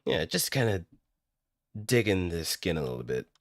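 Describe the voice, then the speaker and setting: A man's voice: low voice, big pitch swings, moderate pace, no echo, studio quality. A man talking casually to himself while streaming. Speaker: streamer practising voice acting. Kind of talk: casual self-talk on a livestream.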